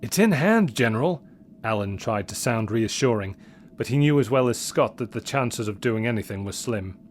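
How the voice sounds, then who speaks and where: A man's voice: on the low side, moderate pace, a little hiss or hum. A man narrating an adventure story in a scripted, dramatic style.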